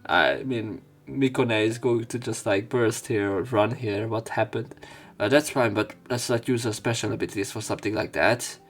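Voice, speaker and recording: Male let's player: slightly gravelly, mid-pitched, reads story text, breaks into offhand sweary commentary, music behind. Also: fairly even intonation, moderate pace. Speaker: let's play host. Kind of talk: reading game text aloud with casual commentary.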